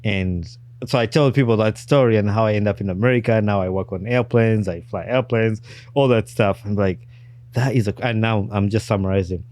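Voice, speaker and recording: A man from Uganda, hosting a podcast and telling his own story in casual, animated chat. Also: fairly low pitch, fairly quick, dry recording. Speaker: podcast host.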